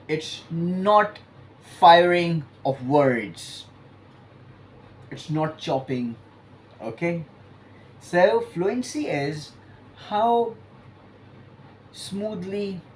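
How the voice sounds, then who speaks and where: A man teaching an exam-prep lesson, explaining points emphatically and stretching key words between pauses. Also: fairly high pitch, slight background noise, rough recording. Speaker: IELTS teacher.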